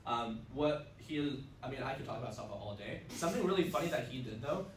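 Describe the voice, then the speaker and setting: A young man, a native Russian speaker, talks quickly and casually to a room of students, with plenty of ums and likes. He sounds far from the mic, and the classroom gives his voice a roomy echo with faint background noise. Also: mid-range voice, expressive. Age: young adult. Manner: upbeat, chatty, many fillers. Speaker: student club presenter. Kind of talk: casual presentation. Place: classroom, indoors, mid-sized. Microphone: far camera.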